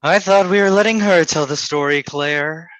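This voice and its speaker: A woman's voice: low voice, normal speed, dry recording, natural voice, rough recording. A woman playing an arrogant, teasing male poet in a period drama reading, with a mocking, theatrical drawl. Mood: skeptical, mocking.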